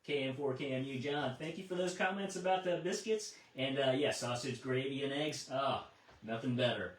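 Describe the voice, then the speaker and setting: A man acting as net control on a ham radio net, friendly and affirming, speaking casually with halting, repeated words. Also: mid-range voice. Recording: distant, echoey amateur radio transmission.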